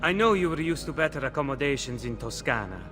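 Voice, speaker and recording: An actor playing a courteous noble Renaissance commander with an Italian accent in a video game cutscene. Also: male voice, medium pitch, lively intonation, fast talker, long reverb tail, music in the background, natural voice. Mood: gracious.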